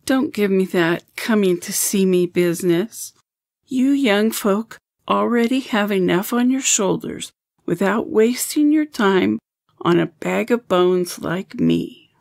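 A woman acts out a blunt, fond old woman for an audio drama. Her voice is creaky and unhurried, recorded dry. She grumbles and runs herself down as she talks. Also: medium pitch, animated, a little mellow, dry recording. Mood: grumbling.

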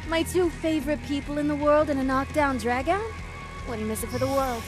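A woman's voice: sits high, brisk, over music, natural voice. An actress playing a playful, brash young female superpowered sidekick in a video game. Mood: playful, eager.

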